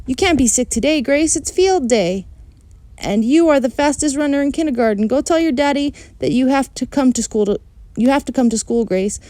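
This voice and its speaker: Woman acting an excitable, bossy little kindergarten girl, high-pitched. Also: fairly quick, no echo. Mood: urgent pleading. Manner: childish grammar, emphatic, blunt.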